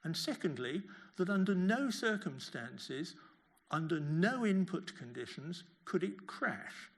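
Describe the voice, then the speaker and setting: Older male academic, creaky voice, halting delivery, answering questions at a lecture. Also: high-pitched, big pitch swings. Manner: halting, repeats words while phrasing. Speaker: professor giving a lecture.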